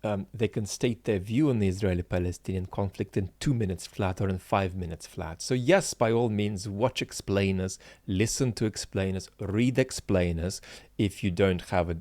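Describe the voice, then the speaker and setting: A man in his forties, a political philosopher hosting his channel, talking unscripted to viewers in a halting, searching way with many fillers and restarts, earnestly stressing key points. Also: deep voice, a little mellow, dry recording. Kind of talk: unscripted solo talk to viewers.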